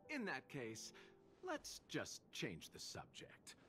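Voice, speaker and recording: A man playing a courteous, worldly, enigmatic merchant in a video game, with refined, formal, gently amused speech. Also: mid-range voice, huge pitch swings, takes it slowly. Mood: puzzled, polite. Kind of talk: video game character dialogue. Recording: prominent background music under the voice.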